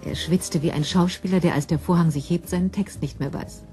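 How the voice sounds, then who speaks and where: Female narrator, crime audio drama, slightly low dark voice, composed storytelling, faint music behind. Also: fairly even intonation, a little fast.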